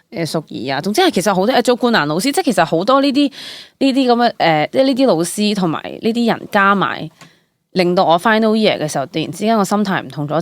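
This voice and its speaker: A young woman with a Hong Kong Cantonese accent speaks in a lowish voice, recorded close and dry. She chats casually and expressively as a guest, dropping English words into her sentences. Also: no room sound. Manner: animated storytelling with exclamations, English words mixed in. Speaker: guest, stage actress. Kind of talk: casual personal interview chat.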